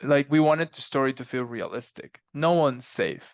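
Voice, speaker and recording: A man's voice: mid-range voice, expressive, normal speed, creaky, dry recording. A man chatting casually as a guest author on a podcast, on slightly lo-fi audio. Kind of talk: casual interview chat.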